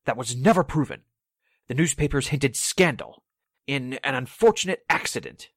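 Actor as guarded radio drama heir, dry sound. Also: male voice, medium pitch, lively intonation, moderate pace, dry recording. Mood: defensive. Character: defensive male heir questioned about a murder. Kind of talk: 1940s radio drama acting.

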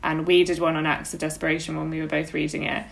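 A woman's voice: low-pitched, little pitch movement, fast talker, gravelly. A young woman co-hosting a podcast, discussing books casually and a little tentatively, often saying "like". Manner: informal, tentative, frequent "like". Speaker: podcast co-host.

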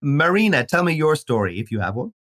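A man's voice: fairly high pitch, very animated, a little fast, a bit bright, no room sound, clean studio sound. A man hosting an interview, putting long, inquisitive questions to his guests. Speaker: host.

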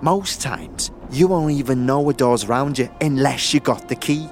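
A man playing a shifty, chatty young street guide in an audio drama, with halting slangy talk. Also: medium pitch, soft background music, voice pushed up, natural voice. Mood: chatty, sly.

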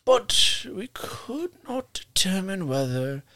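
Male podcast host, mid-pitched, animated, chatty storyteller, laughs a lot. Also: slow pace. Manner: reads from notes, laughs often, self-correcting asides.

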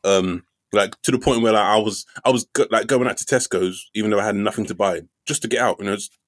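A young comedian from London with a somewhat low voice tells stories in a loose, joking way. He fills his talk with ums and casual swearing. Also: fast, dry recording. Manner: laid-back joking storyteller, swears, many fillers. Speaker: comedian, podcast co-host. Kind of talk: casual comedy podcast chat.

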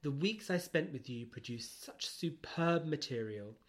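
A man's voice: on the high side, animated, measured pace. A man co-hosting a podcast in casual comic chat, giggly and teasing as he talks. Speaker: podcast co-host.

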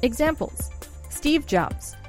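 A woman's voice: high voice, expressive, slow pace, faint music behind. A woman co-hosting a podcast, reading articles aloud and commenting informally. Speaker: podcast co-host.

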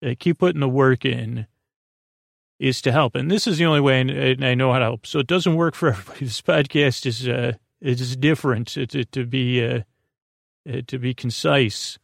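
Male bedtime-podcast host, creaky fry, dry close recording, meandering monologue full of halting repeats. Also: mid-range voice, no echo.

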